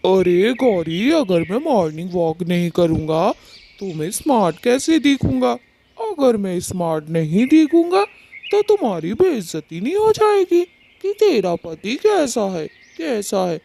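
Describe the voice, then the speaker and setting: A woman acts out a vain, lecherous male crow in a cartoon tale. The voice is mellow and gravelly, drawling slowly with animated swoops. He swaggers and taunts. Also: medium pitch, a little hiss or hum. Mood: boastful. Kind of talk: Hindi cartoon moral story dialogue.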